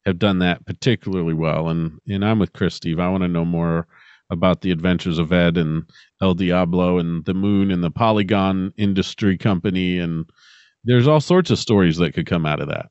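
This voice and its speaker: A man co-hosting a podcast, chatting casually in a halting, emphatic way. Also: low-pitched, a little mellow, no room sound, lo-fi sound. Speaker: podcast co-host. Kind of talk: casual chat.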